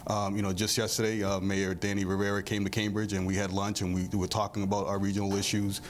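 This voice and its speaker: Deep, flat-voiced man speaking earnestly. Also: quick pace, a little hiss or hum. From Massachusetts. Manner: earnest, with many fillers. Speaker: panel guest. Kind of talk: talk show interview.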